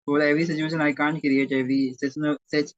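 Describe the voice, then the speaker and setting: A man who teaches at a primary school, speaking as an interview guest in a halting way and often correcting himself mid-sentence. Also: on the high side, level tone, dry recording. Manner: halting, self-correcting, searching for words. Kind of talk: interview conversation. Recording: dull, dry lo-fi audio.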